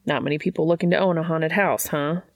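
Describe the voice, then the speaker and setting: Woman reading as blunt, skeptical young man, gravelly. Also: sits low, fairly even intonation, fast, dry recording, studio quality. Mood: wry. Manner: casual, blunt questioning.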